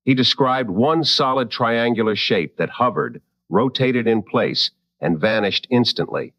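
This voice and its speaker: A man playing a serious, probing late-night radio host in a radio drama, speaking in deliberate, measured dramatic phrases. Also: mid-range voice, animated, highs cut off. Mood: calm, probing.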